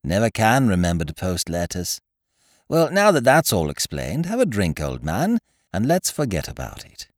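A man voicing an amiable, dim young gentleman in an audiobook, bleating and chummy, full of old-fashioned British slang. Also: on the low side, wildly swooping pitch, normal speed, no room sound, clean studio sound. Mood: forgiving, soothing. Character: amiable, dim upper-class young gentleman, easily pleased.

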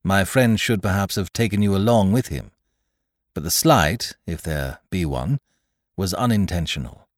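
A man voicing a calm, shrewd gentleman doctor in a measured, courteous way for a storybook. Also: sits low, no echo, clean studio sound, voice pushed up.

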